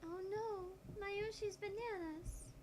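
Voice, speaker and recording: Very high-pitched childlike ditzy girl. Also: slow, a little mellow, slight background noise. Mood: dismayed. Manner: childlike, artless.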